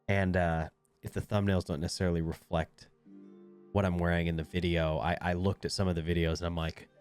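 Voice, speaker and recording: A man's voice: low voice, a little hiss or hum. A young man with a congested, nasal voice, casually commentating while he plays a video game at his desk, rambling with lots of fillers. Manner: laid-back, rambling with fillers. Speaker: gaming video creator.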